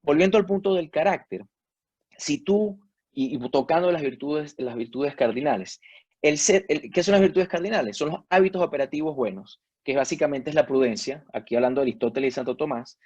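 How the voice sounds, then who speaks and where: A Venezuelan man on a discussion panel, speaking thoughtfully and hesitantly, pausing often with fillers and restarting his sentences. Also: a little high, darkish tone, husky, no room sound, dull audio. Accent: Venezuelan Spanish. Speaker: panel guest.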